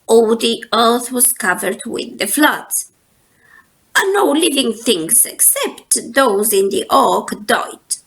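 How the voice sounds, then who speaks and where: A woman reading a children's story aloud as narrator, in an expressive, unhurried storytelling manner. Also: on the high side, rough recording. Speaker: storybook reader.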